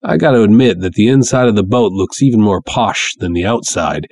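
A man's voice: on the low side, fairly quick, darkish tone, dry recording. A man giving a dramatic first-person narration of a story, as its narrator.

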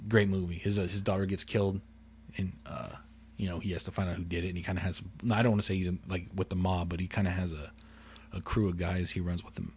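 A man with a soft, calm and somewhat husky voice, pitched a bit low, talks casually on a podcast. He rambles, restarts his sentences and swears freely, never raising his voice much. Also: fast talker. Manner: quiet, calm delivery; rambling with frequent restarts and profanity. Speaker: podcast co-host. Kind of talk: casual chat.

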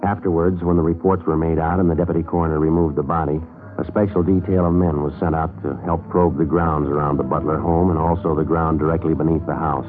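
World-weary police sergeant, American, deep voice, deadpan radio drama narration, dull vintage recording. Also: male voice, fast talker. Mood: flat, grim. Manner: deadpan, terse, matter-of-fact. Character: laconic, world-weary male police detective sergeant narrating his case. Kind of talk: 1950s radio crime drama acting. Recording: muffled vintage radio recording, faint music.

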